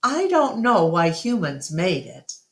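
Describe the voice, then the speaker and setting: A woman's voice: fairly low pitch, big pitch swings, slow pace, voice pushed up, exaggerated voice. A woman voicing an eager, talkative young female elephant who tells stories in an earnest, childlike way. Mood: earnest, excited. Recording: roomy, echoing room sound.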